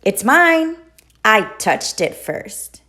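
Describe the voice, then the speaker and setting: A stubborn, proud storybook goose who insists on every point, as a woman reads him aloud. The voice rides high and lively, with a haughty lift. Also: extremely slow, heavily acted. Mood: insistent.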